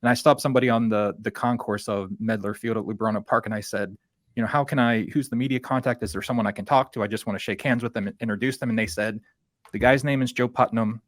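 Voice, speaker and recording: Podcast host guy, lowish voice, super dry sound, chatty and rambles into his questions. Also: fairly even intonation, fast talker, no echo. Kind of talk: casual conversational interviewing.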